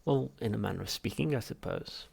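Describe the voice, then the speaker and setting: Man acting a tart, husky, exasperated everyman. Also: on the low side, a little fast, darkish tone, full character voice. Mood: meek, hopeful. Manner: tart, pleading, indignant. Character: exasperated, tart, polite everyman craving tea. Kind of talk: audiobook character voice.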